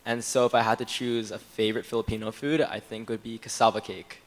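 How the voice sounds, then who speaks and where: A man's voice: on the low side, level tone, quick pace. An eighteen-year-old man from New Jersey, a student, talking casually on a panel. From New Jersey, United States. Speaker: panel participant, university student. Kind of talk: casual roundtable talk.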